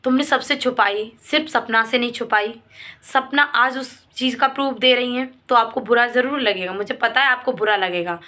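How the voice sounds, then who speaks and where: A woman's voice: a little high, normal speed, bright, forceful tone. A woman giving an informal opinion vlog, speaking emphatically with repeated phrases in a reproachful, pleading way. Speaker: vlogger commenting on a family controversy. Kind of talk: informal opinion vlog addressed to viewers and a person involved.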